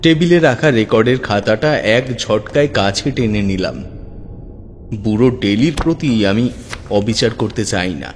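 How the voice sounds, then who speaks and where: A man narrating a first-person horror story in a measured, suspenseful manner. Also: lowish voice, lively intonation, slight background noise. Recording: long hall reverb with music. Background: faint background music.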